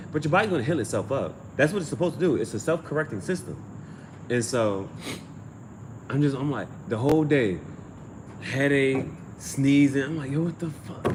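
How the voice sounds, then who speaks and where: Guy chatting on a livestream, slangy, swears a bit, circles back on his words, noisy hum behind him. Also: fairly low pitch. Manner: slangy, profane, repeats phrases while thinking aloud. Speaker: amateur tarot reader and channel host. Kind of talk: casual livestream storytelling.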